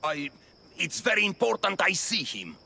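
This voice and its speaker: An actor playing a nervous, self-serving male henchman in a video game cutscene, halting and bargaining. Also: high-pitched, sing-song, creaky, bright, forceful tone, slight background noise, full character voice. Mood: nervous, evasive.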